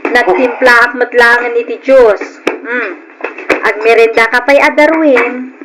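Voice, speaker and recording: An actress playing a cheerful, dutiful young country wife in a radio drama, with an Ilocano accent. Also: female voice, a little high, fairly even intonation, measured pace, natural voice. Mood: friendly, homely. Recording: old radio drama, faint noise.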